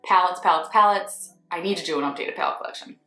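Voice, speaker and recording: A woman's voice: lowish voice, fast. A young woman from Northeast Philadelphia with a Philadelphia accent, a makeup artist giving blunt, sarcastic, swear-laced opinions in a casual vlog. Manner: blunt, sarcastic, swears freely, animated swings. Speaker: beauty vlogger, freelance makeup artist. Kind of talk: candid casual ranting vlog. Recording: echoey room with faint music.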